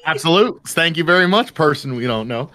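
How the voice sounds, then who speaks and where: A man hosting a casual panel, talking haltingly and often repeating his phrases. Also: fairly high pitch, animated, bright, forceful tone. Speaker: panel host.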